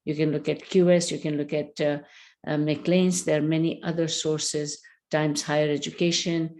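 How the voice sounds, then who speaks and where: A middle-aged woman presenting a training webinar for university recruitment agents, speaking deliberately with frequent fillers. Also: low-pitched, flat delivery, darkish tone.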